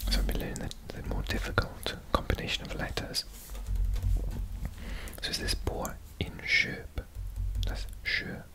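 A man from Wales whispering slowly and gently as he reads from a language textbook, correcting himself as he goes. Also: low-quality recording. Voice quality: soft unvoiced whisper. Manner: slow, gentle, self-correcting learner. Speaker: ASMR creator. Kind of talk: whispered ASMR language reading. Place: indoors at a table.

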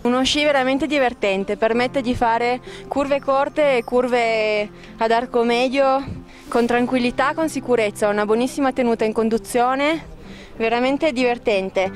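A woman reviewing skis outdoors on a slope with a handheld mic, speaking fluently. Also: a little high. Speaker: ski tester. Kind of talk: product review.

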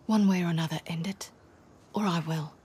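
A woman's voice: on the low side, steady tone, brisk, a little mellow, breathy edge. An actress playing a hard, impatient female mercenary commander in a video game, curt and commanding. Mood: impatient, threatening. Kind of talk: video game cutscene acting.

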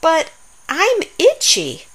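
A woman's voice: very high voice, wildly swooping pitch, slow, fairly bright tone, voice pushed up, heavily acted. A woman voicing a young, itchy, pleading triceratops from a children's storybook in a plaintive way.

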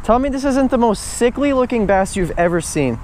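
A man's voice: very high-pitched, animated, normal speed, darkish tone, slight background noise. A young man giving casual, slangy fishing vlog commentary outdoors into an action camera. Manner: slangy, informal. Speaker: fishing vlogger. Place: outdoors on grassy banks beside water.

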